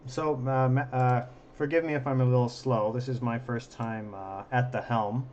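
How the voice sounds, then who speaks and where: Slightly hoarse man hosting, dull recording. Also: mid-range voice, steady tone, normal speed, a little mellow. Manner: self-deprecating, joking. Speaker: livestream host and telescope operator. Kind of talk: casual live stream commentary. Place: observatory during a live stream. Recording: dull sound with faint background noise.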